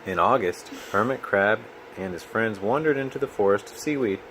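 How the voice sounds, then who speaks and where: A man reading a storybook aloud to a child in an expressive, patient manner. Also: fairly low pitch, moderate pace, slight background noise. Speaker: children's book reader.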